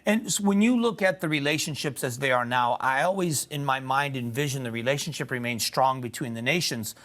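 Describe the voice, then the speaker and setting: A man's voice: mid-range voice, a bit bright. A man hosting a local TV news show, reading a scripted news roundup and interviewing a guest in a studio. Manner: brisk, clear presenter delivery.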